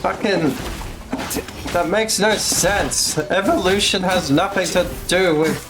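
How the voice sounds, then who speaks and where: A man's voice: high voice, takes it slowly, background noise. A man casually commenting on a live game playthrough, muttering sarcastic, sweary remarks. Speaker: game streamer.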